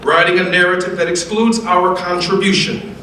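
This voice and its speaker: An American man delivers formal remarks as a guest speaker in an oratorical, preacher-like style, measured and emphatic with rhetorical repetition. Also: sits high, flat delivery, bright, forceful tone. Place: large echoey indoor event room. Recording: very echoey room, distant mic, faint noise.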